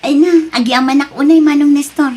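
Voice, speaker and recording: A woman's voice: high voice, steady tone, brisk, a little mellow, lightly acted. A woman playing a friendly, grateful overseas worker in an audio drama. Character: friendly, grateful woman working abroad, missing her child.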